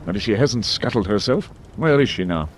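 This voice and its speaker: A man's voice: lowish voice, lively intonation, a little fast, slight background noise, lightly acted. A man playing a composed senior British naval officer in a radio war drama, clipped, courteous and understated. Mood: pensive, measured.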